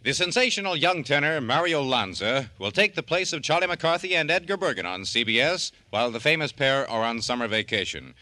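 Animated, bright-voiced old-time radio announcer man. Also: mid-range voice, a little fast, hardly any pauses. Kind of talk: 1940s-50s radio announcing.

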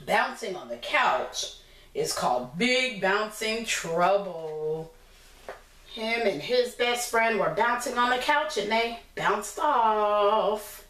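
American woman, preschool teacher, picture-book read-aloud, very animated pitch, unhurried pace, encouraging questions, echoey room. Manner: encouraging, instructional, asking simple questions. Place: small indoor room against a plain decorated wall. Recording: roomy echo, distant mic, clear background noise.

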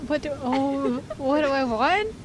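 A woman's voice: high-pitched, expressive, a little slow. A young woman, a foreign volunteer, answering casually in a street interview into a handheld mic outdoors. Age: young adult. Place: open city pedestrian street. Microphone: reporter's handheld microphone. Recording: noisy outdoor street recording.

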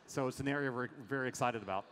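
A man's voice: mid-range voice, racing, a bit bright, slight background noise. A middle-aged man from France, a venture investor, talking energetically with many fillers in a fireside chat on a large conference stage, through a headset mic. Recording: long hall reverb, faint ambience.

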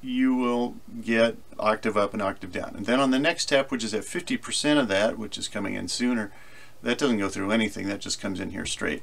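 A man presenting an informal software screencast tutorial, explaining conversationally as if thinking aloud. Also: on the low side, vocal fry.

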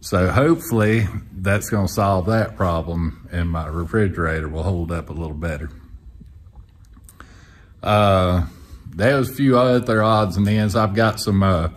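A man's voice: low-pitched, unhurried. A man, chatting casually to camera from a workshop garage, rambling with plenty of fillers and restarts. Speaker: sailing vlogger. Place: large metal workshop garage, indoors.

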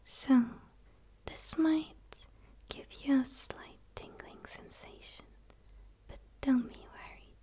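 A woman playing a curious, eager alien studying a human in a soft, soothing ASMR roleplay whisper, close to the microphone. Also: high-pitched, fairly even intonation. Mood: curious, gentle. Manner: soft, slow, soothing, breathy delivery. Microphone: close microphone, speaking straight to camera.

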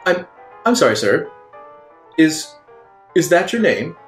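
A man's voice: fairly high pitch, sing-song, slow pace. A man voicing a fawning, butler-like shop clerk in his thirties for a tabletop game, formal and stammering. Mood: polite. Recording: roomy sound with faint music.

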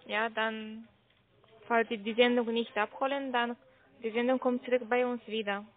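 A woman on a call-centre phone call, speaking politely and haltingly with non-native phrasing. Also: fairly high pitch, little pitch movement. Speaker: phone caller about a parcel. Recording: narrow, tinny phone line.

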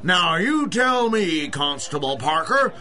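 A blustering, high-voiced business owner. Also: male voice, sing-song, takes it slowly, sharp tone, exaggerated voice. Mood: exasperated. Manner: blustering, complaining. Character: indignant working-class male produce business owner. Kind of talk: 1930s-style radio drama acting.

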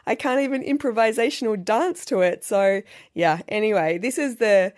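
A middle-aged Australian woman hosting a solo podcast, her voice husky, croaky and slightly nasal from a recent illness, chatty and self-deprecating. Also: fairly high pitch, normal speed, a little mellow. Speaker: podcast host.

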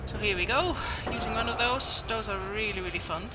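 A woman's voice: fairly high pitch, a little fast, very noisy. A woman giving casual gameplay commentary as she talks through a video game walkthrough. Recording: loud game music behind. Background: loud game music.

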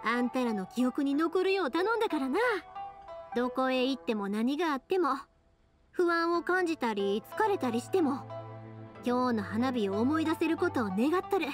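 A woman's voice: high-pitched, lively intonation, moderate pace, music in the background. A woman voicing a cheerful, sincere young fireworks maker in an anime-style game, with a Kansai accent and a friendly, earnest, colloquial manner. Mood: warm, sincere.

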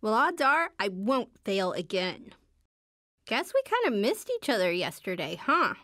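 A woman's voice: mid-range voice, sing-song, unhurried, a bit bright, no echo. A woman playing a shy, wry teenage superhero girl in a video game, hesitant and understated, with dry earnestness. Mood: upbeat, wry. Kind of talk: video game character voice lines.

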